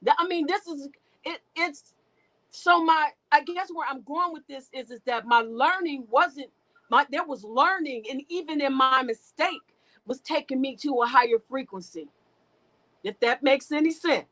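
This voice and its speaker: A middle-aged woman candidly telling her life story as an interview guest, halting and restarting, often laughing. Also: high-pitched, pushed and bright, poor audio.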